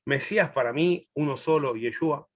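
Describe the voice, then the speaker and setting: A middle-aged man from Chile, raised in Argentina, teaching a Bible class in a chatty, laughing way. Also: on the high side. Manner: warm, chatty, laughs often, long pauses. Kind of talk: answering a student in a live class.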